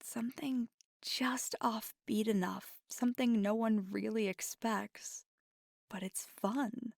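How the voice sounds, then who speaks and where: Woman acting as a flirty vampire gf asmr, soft and whispery, slow w lots of vocal fry, playful n affectionate. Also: fairly high pitch, steady tone, no echo, studio quality, heavily acted. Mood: playful, enthusiastic.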